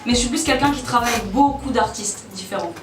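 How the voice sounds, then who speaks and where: A woman in her twenties, from southeastern France, answering interview questions in soft, measured replies. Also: on the high side. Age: 20s. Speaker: guest dancer and choreographer. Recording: roomy, distant mic, music behind.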